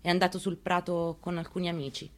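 A woman's voice: lowish voice, flat delivery, quick pace, close to an everyday voice. A woman performing a dramatic monologue reading as a haunted, plainspoken mother recounting her story. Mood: plain recollection. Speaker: actress in a staged reading.

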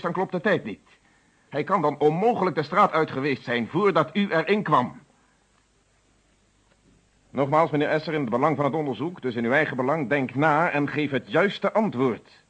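A man's voice: fairly high pitch, fairly bright tone, slightly rough. An actor playing a stern, methodical police inspector in a radio play, speaking formally and insistently as he interrogates. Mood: accusing, insistent.

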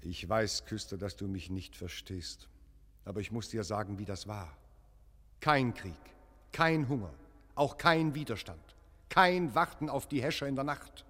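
A man's voice: on the low side, unhurried, bright, forceful tone, slightly rough, full character voice. An actor playing a troubled, earnest visiting clergyman in a radio play, speaking in solemn, insistent short phrases. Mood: brooding, anguished. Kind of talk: German radio play acting. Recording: long echoing church-like reverb.

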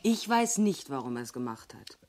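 An actress playing a puzzled, persistent police colleague in a German radio drama, with a questioning manner. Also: female voice, very deep voice, very animated, normal speed, darkish tone. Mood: puzzled, insistent.

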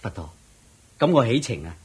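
An actor playing a humble, troubled poor layman in a radio drama, husky-voiced, with a deferential, hesitant way of talking. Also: mid-range voice, very animated, moderate pace, darkish tone, a little hiss or hum, lightly acted. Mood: humble, earnest. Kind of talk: Cantonese radio drama acting.

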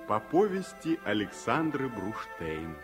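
A man's voice: on the low side, sing-song, slow pace. A man solemnly announcing a title in scripted radio style. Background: music.

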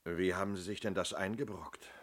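Deep-voiced, methodical police inspector. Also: male voice, fairly even intonation. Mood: probing, calm. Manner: measured, matter-of-fact, probing. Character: methodical, fair-minded male police inspector. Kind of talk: 1970s German radio play acting.

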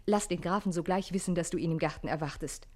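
A woman's voice: on the low side, quick pace, darkish tone, natural voice. A woman playing an elegant, resolute countess in a theatrical radio play, refined and conspiratorial in manner.

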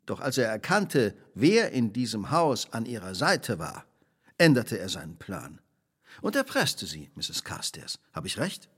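An actor playing a shrewd, relentless detective in a radio drama, speaking precisely, formally and theatrically. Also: male voice, on the low side, huge pitch swings, measured pace, fairly bright tone, breathy edge, full character voice. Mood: accusing, assured. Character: shrewd, relentless male detective laying out a crime.

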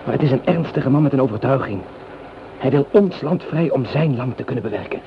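A thoughtful young soldier acted by a man speaks with earnest, educated idealism in a radio play. His delivery is measured and conciliatory, at an average male pitch. The old recording is muffled, with clear noise behind the voice. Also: animated, lightly acted. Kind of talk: Dutch radio play acting.

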